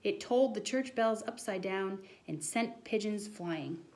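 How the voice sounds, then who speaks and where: A woman voicing an earnest, excitable schoolboy who tells a tall storybook tale with dramatic insistence. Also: mid-range voice, unhurried, slight background noise, natural voice. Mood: wondrous.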